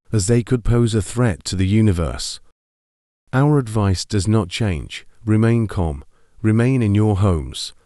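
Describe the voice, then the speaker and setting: A man playing a grave TV announcer, reading formal emergency bulletins in a measured, solemn manner. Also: lowish voice, warm tone, no echo, clean studio sound, close to an everyday voice.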